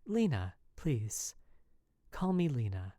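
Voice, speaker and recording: A man voicing a sad, gentle young married woman who speaks softly and hesitantly. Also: mid-range voice, big pitch swings, slow, no echo, studio quality. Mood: polite. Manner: soft and hesitant.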